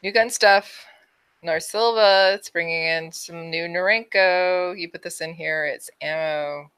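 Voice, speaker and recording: A woman's voice: on the low side, measured pace, a bit bright, clean tone, dry recording. A woman with a Canadian accent co-hosting a podcast, chatting casually and reading out announcements. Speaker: podcast co-host.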